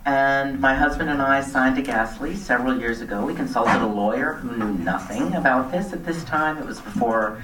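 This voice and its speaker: A woman's voice: a bass voice, moderate pace, few pauses, a bit bright, slight background noise. A middle-aged woman addresses a town meeting as a local resident. She speaks plainly and earnestly, partly off the cuff. The sound is roomy and reverberant, picked up some way from the microphone. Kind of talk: public comment speech, semi-extemporaneous. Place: community center meeting hall. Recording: echoey hall, distant microphone.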